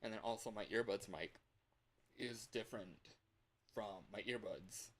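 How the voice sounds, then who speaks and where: A man chatting casually to livestream viewers in an excitable, scattered, playful way, with frequent exclamations. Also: fairly low pitch, a little slow. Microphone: external microphone.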